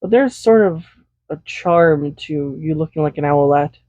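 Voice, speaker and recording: A woman playing a doting, possessive villain in an intimate roleplay audio, speaking softly and without hurry in a gently teasing manner. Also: sits low, no room sound. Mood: teasing, reassuring. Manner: soft-spoken, unhurried, gently teasing. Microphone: Blue Yeti USB microphone, close. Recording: slightly dull home microphone recording.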